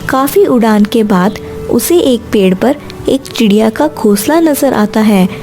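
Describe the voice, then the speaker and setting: A woman with a warm, dark tone and slightly high pitch narrates a children's story. Faint music plays behind her scripted telling. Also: lively intonation, measured pace.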